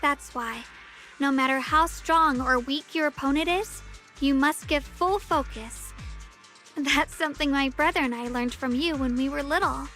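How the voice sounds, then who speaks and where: A woman's voice: high voice, unhurried, sharp tone, soft background music. A woman voicing an earnest, polite young swordswoman disciple in a video game, with a reassuring manner. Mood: earnest, respectful.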